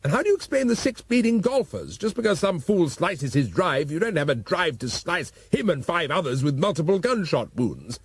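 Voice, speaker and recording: A man voicing a loud, exasperated, foul-mouthed police commissioner. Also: a little high, sing-song, normal speed, few pauses, slightly rough, voice pushed up, heavily acted.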